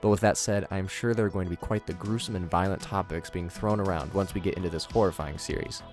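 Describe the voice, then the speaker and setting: A man's voice: low voice, fairly even intonation, quick pace. A man giving scripted video commentary as the host of the show. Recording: music under the voice. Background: background music.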